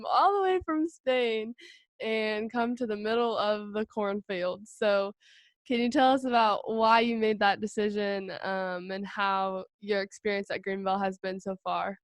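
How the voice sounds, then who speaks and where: A woman's voice: medium pitch, measured pace, no room sound. A young woman hosting a casual podcast chat in a friendly way, laughing often. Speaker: podcast host.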